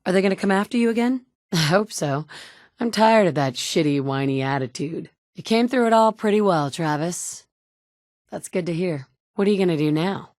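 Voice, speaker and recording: A woman's voice: fairly low pitch, a little mellow, no echo, lightly acted. An actress voicing a blunt, sarcastic woman in a game, a protective mother with a dry, no-nonsense manner. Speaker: player character. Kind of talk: video game dialogue.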